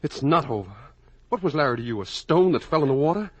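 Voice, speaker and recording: An actor playing an earnest, morally outraged young son in 1950s radio drama, with a husky voice and intense delivery. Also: male voice, fairly high pitch, lively intonation, fast talker, lo-fi sound, slight background noise, natural voice. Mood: outraged, pleading. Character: earnest, morally outraged grown son confronting his parents.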